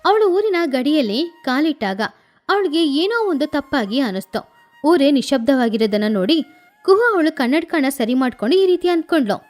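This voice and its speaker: A woman narrating a children's story in an animated storytelling style. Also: sits high, moderate pace, faint music behind.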